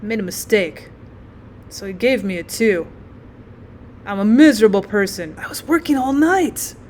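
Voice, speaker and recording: A woman's voice: medium pitch, a little mellow, a little hiss or hum, lightly acted. A woman voicing a miserable, flustered thirteen-year-old schoolboy who stammers through his words in a story. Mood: flustered, pleading. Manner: stammering, halting.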